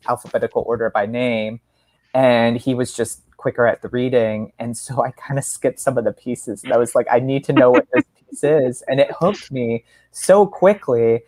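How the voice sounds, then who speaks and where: A woman's voice: rumbling low, a little mellow. Female podcast host, informal book talk, hesitant delivery with repeated words. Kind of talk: casual literary discussion.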